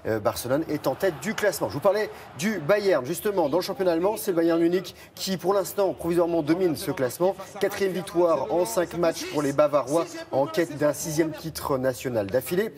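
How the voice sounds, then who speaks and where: A man's voice: a little high, a little fast, few pauses, a crowd in the background. A man presenting sports results on morning TV, stumbling over names and joking about it.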